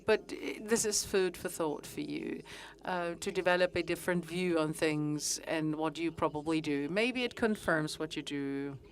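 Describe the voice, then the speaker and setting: A middle-aged woman giving a conference presentation, speaking haltingly with frequent pauses and fillers. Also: low voice. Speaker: conference speaker on fitness training.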